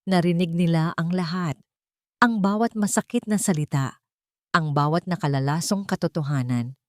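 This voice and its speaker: A woman's voice: lowish voice, normal speed, no room sound, studio quality. A woman narrator reading a scripted dramatic love story.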